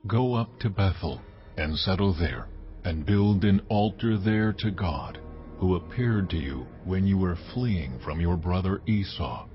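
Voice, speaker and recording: An actor playing a solemn, almighty God in a dramatized scripture audiobook, speaking with slow, weighty authority. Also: male voice, low-pitched, animated. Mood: majestic. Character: almighty God, solemn, majestic male deity. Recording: muffled audio under music.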